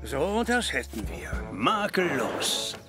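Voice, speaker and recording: A man voicing a kindly old grandfather who drives a steam train in a children's cartoon, with a gravelly voice. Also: fairly high pitch, huge pitch swings, takes it slowly, loud music behind the voice, heavily acted. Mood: cheerful. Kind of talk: animated children's cartoon dubbing.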